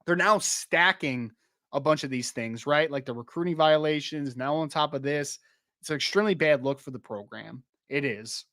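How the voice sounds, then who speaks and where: A young man chats on his podcast in a loose, rambling way, laughing easily and circling back on his words. His voice sits a little high and sounds slightly worn, as if he is losing it. The sound is dry and close. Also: normal speed, bright, forceful tone, dry recording. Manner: chatty, rambling, laughs easily, many restarts and "like". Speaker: podcast host. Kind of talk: casual live-stream podcast chat with chat readers.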